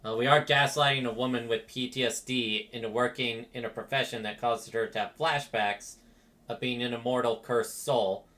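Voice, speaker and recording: A man's voice: mid-range voice, fairly even intonation, normal speed, a bit bright. A man streaming a casual reaction to a game, speaking hesitantly with wry sympathy. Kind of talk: casual game reaction commentary, reading along.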